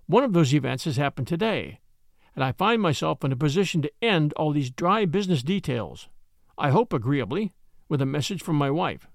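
A family lawyer speaks in a measured, formal and kindly way, read by a male narrator. The pitch moves expressively. The sound is dry and intimate. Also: very animated, creaky, no echo.

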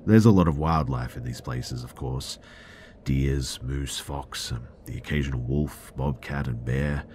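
A man narrating first-person horror stories in a measured way, with dramatic pauses and hushed moments. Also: extremely low pitch, a little hiss or hum. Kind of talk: scary first-person storytelling.

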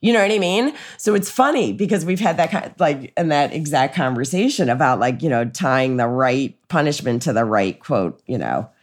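Low-voiced, lively middle-aged American woman. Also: moderate pace, hardly any pauses. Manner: animated, often quotes others in anecdotes. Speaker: podcast co-host. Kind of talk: casual conversational chat, anecdotes.

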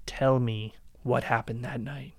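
An actor in a dramatic reading playing Josh, an obsessive, vengeful young man who speaks with accusing, quiet menace. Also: medium pitch, level tone, a little slow, no echo, clean studio sound, lightly acted. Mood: menacing. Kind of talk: dramatic reading of a dark story.

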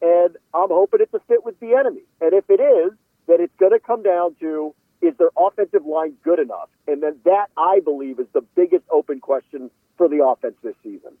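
Male sports guest, opinionated and high-voiced, chatting casually over a narrow, dry line. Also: brisk, no room sound. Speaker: radio football guest analyst. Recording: lo-fi phone-like radio line.

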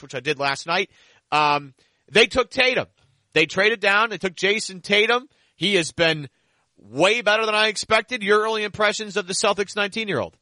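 A man's voice: sits high, bright, forceful tone, dry recording. A man hosting a sports podcast, talking basketball in a casual, conversational way.

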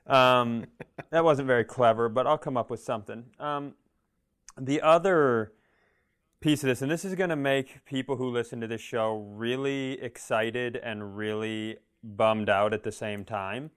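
A man's voice: medium pitch, a little slow, fairly bright tone, clear, resonant tone. A man co-hosting a podcast in casual comedic chat, joking and rambling with a self-deprecating streak. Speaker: podcast co-host.

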